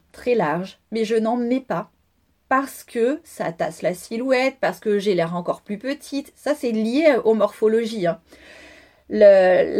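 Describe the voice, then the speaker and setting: A woman's voice: fairly high pitch, a little mellow. A woman from France coaching listeners on style, talking informally with many fillers and repetitions. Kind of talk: podcast monologue.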